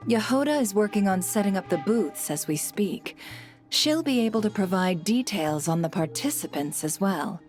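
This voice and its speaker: A woman voicing a poised, shrewd female guild leader in a video game, composed, deliberate and businesslike. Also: fairly low pitch, lightly acted. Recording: music behind the voice.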